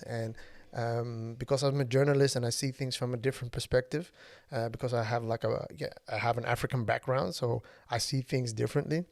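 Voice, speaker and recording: Man, 30s, from Ethiopia, Somali first language; gravelly, mid-pitched, hesitant casual podcast host. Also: darkish tone, dry recording, studio quality. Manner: halting, many fillers and restarts. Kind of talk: casual solo podcast monologue.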